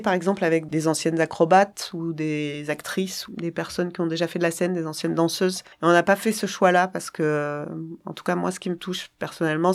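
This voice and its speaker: A woman, dramaturge of a circus company, explaining their work thoughtfully in an interview, often searching for words. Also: on the low side.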